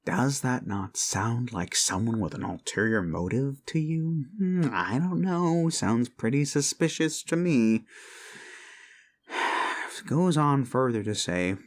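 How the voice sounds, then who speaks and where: Creepy possessive boyfriend, acted by a man for ASMR roleplay: slow, swooping delivery, mock-sincere coaxing that slips into whispers. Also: mid-range voice, animated. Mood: indignant, mocking. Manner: theatrical, coaxing, deliberate with pauses, drifting into whispers.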